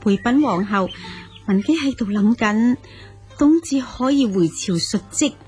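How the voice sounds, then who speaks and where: A learned noblewoman of ancient China, played by a woman in a Cantonese audio drama. Her voice is fairly high and emotive, with sighs and humble, courtly phrasing, over clear background music. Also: expressive, measured pace, a little mellow. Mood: excited, courteous. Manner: emotive, sighing, formally courteous.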